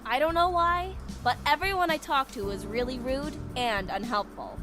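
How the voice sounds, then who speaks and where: An impatient young female leader from a visual novel game, played by an actress, talks in a fairly high voice with a brusque, demanding edge. Music is clearly heard behind her. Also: moderate pace, natural voice. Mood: irritated. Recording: background music under the voice.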